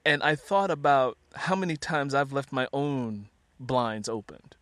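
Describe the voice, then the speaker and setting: A man's voice: mid-range voice, a little slow, fairly bright tone, no echo. A man hosting a casual interview, talking conversationally and expressively with little acted asides. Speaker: host, interviewer.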